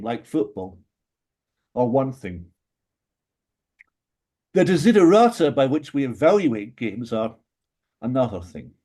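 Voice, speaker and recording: Measured British man lecturing with dry asides. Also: medium pitch, mellow. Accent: British English. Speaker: panelist in an academic book discussion. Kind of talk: prepared philosophy talk.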